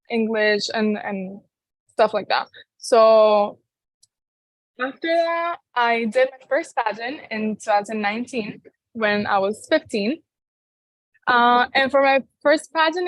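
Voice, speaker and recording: Young woman from Cuba, giggly, halting, higher voice, dry sound. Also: dry recording, poor audio. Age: young adult. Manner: giggly, halting, many fillers. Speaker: pageant contestant. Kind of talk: interview answers.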